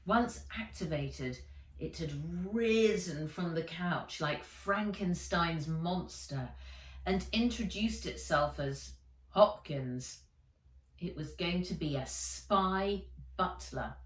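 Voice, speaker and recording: Slow, low-pitched woman reading a story, roomy. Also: lively intonation. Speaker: author reading own book aloud. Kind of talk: children's audiobook narration. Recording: roomy echo, distant from the mic.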